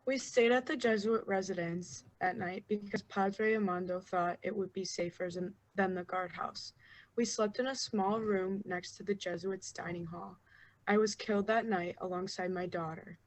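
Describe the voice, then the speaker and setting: A woman reads a first-person testimony quietly and gravely. Her pitch is average for a woman. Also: steady tone, normal speed, poor audio. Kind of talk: reading a victim's testimony aloud.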